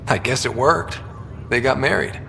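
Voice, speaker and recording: A man's voice: mid-range voice, moderate pace, creaky. A man playing a wry, wistful young soldier in a sci-fi video game, speaking in a reflective, conversational way. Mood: reminiscing. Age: young adult. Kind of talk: naturalistic video game dialogue acting. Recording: reverberant room, loud ambient hum behind.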